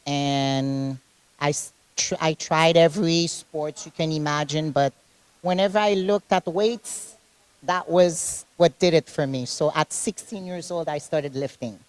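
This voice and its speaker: A woman's voice: sits low, measured pace, darkish tone, dry recording, poor audio. A woman with a Caribbean accent, raised in Haiti, chatting as an interview guest in a gym, laughing often and restarting her sentences. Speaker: interview guest, fitness competitor. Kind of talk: casual interview chat.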